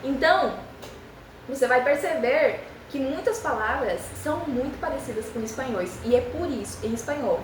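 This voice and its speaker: A woman's voice: high-pitched, lively intonation, recorded from a distance, slight background noise. Young Spanish-speaking woman teaching, echoey room. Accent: Spanish-speaking, speaking Portuguese. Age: young adult. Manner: encouraging, repeats phrases slowly for practice. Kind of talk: beginner language lesson.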